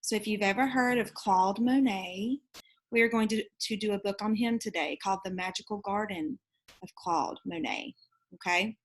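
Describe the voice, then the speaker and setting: A woman's voice: medium pitch, moderate pace, low-quality recording. A woman teacher talking casually to her students, explaining as she goes and correcting herself along the way. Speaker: teacher reading aloud to students. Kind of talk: casual instructional talk to students.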